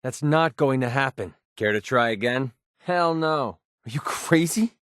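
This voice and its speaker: A man's voice: mid-range voice, expressive, measured pace, a bit bright, no room sound, clean studio sound. A man voicing a cocky, sardonic young mercenary gunman in clipped video game companion lines. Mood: dismissive, wry.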